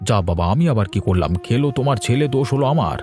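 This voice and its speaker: A man playing a stingy, grumbling middle-aged village father in a Bengali animated cartoon, talking in a dismissive, complaining way. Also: mid-range voice, rapid-fire, soft background music, close to an everyday voice.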